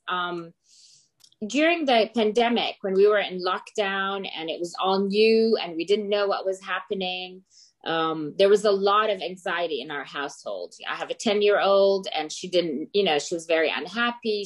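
A middle-aged Lebanese woman who grew up in Greece, talking casually as an interview guest. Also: fairly low pitch. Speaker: guest, children's book author.

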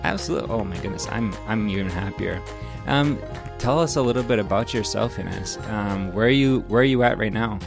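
A man's voice: lowish voice, brisk, gravelly, music in the background. A man hosting a podcast interview online, chatty and playful. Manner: chatty and playful, with self-deprecating asides. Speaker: podcast host. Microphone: remote online recording.

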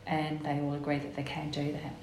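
An Australian woman whose first language was Italian talks in a sure, emphatic way, often agreeing with "absolutely." Her voice sits low for a woman. The room is echoey and there is a faint hiss behind her. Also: completely flat delivery, brisk, a little mellow. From Western Australia. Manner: confident, emphatic, frequent "yeah, absolutely". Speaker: guest, executive officer of a gender equity group. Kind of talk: conversational podcast interview.

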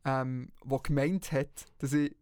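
A man's voice: mid-range voice, fairly even intonation, takes it slowly, darkish tone, smooth, no echo, studio quality. A young man from Switzerland with a Swiss German accent, chatting casually and jokingly as a podcast co-host. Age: young adult. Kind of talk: casual chat.

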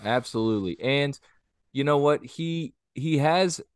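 A man's voice: mid-range voice, unhurried, smooth, dry recording. A man co-hosting a wrestling podcast, talking in a rambling, opinionated and blunt way, sometimes crude. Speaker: podcast co-host. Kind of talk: casual wrestling podcast chat.

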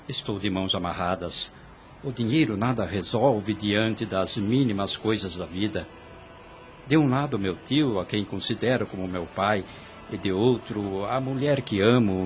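A man's voice: lowish voice, a bit bright, slight background noise, close to an everyday voice. An actor playing a devoted, brooding younger man in a period radio drama, with a theatrical, formal, intense delivery. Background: background music.

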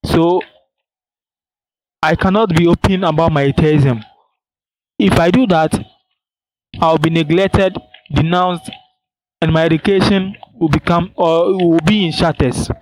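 A young man with a Ghanaian accent leaving a personal voice message, speaking earnestly and deliberately in short phrases. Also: on the high side, a little hiss or hum, low-quality recording. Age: young adult.

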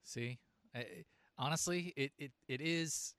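A man's voice: on the high side, unhurried, a bit bright, clear, resonant tone, no echo. A man hosting an interview who rambles and restarts his sentences often. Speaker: interview host. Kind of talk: casual interview chat.

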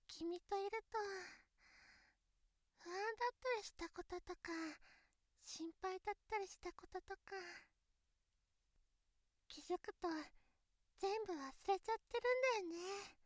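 Shy devoted schoolgirl gf for a bedtime audio drama, super high voice, slow n gentle, kinda hesitant. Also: no echo, studio quality. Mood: sleepy, tender. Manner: gentle, hesitant, stammering when embarrassed, drawn-out delivery. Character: shy, devoted teenage schoolgirl girlfriend, soft and easily flustered. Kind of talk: Japanese situation voice drama, intimate bedside roleplay for relaxation.

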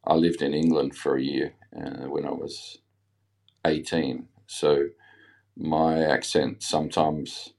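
Very deep-voiced Australian man. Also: level tone, gravelly, darkish tone. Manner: halting, many ums and restarts. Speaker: caller. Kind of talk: casual chat.

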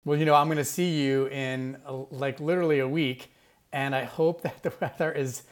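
A man's voice: medium pitch, steady tone, moderate pace. A man co-hosting a tech podcast, chatty and enthusiastic. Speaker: podcast co-host. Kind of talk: casual podcast chat.

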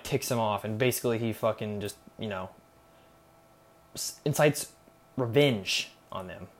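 A young man with a slightly low voice talks solo as a podcast host. He rambles off the cuff in a slangy, sarcastic way and swears freely. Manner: heavy casual swearing, slangy; sarcastic, irreverent. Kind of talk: casual unscripted rambling monologue.

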